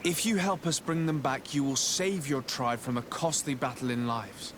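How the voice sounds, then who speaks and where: A man playing a composed, courteous young diplomat-adventurer in a video game, speaking in measured, earnest, formal phrases. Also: mid-range voice, background noise, close to an everyday voice. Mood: urgent, placating. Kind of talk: video game cutscene acting.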